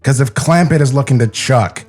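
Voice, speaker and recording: A man's voice: medium pitch, moderate pace, darkish tone, faint music behind. A man co-hosting a podcast with casual, comedic banter. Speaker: podcast co-host.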